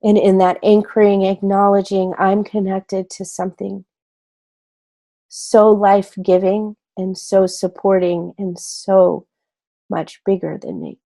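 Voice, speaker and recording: A woman leading a guided meditation in a gentle, soothing way, unhurried and pausing between short phrases. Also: mid-range voice, level tone, vocal fry.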